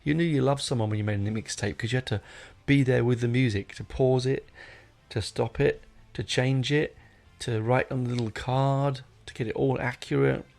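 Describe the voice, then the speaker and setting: A middle-aged man chats solo as a podcast host, with an average-pitched voice full of gravelly creak. He talks off the cuff, drifting into asides and rewording himself as he goes. Music plays behind him. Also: steady tone, normal speed, darkish tone. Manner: rambling, digressive, self-correcting. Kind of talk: casual unscripted solo podcast chat.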